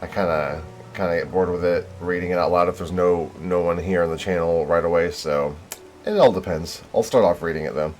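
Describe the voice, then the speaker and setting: A man with a low-pitched voice hosts a casual video game livestream. He talks in a loose, rambling way and tosses in self-deprecating asides as he goes. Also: fairly even intonation, fairly quick, faint music behind. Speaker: game streamer.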